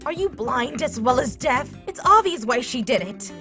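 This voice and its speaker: A woman's voice: high voice, sing-song, moderate pace, music in the background. A woman voicing a brash, grumbling teenage schoolgirl with a tomboyish, sarcastic manner in an anime fan dub. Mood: irritated. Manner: blunt, sarcastic, complaining. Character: brash, grumbling boy in a teenage girl's body.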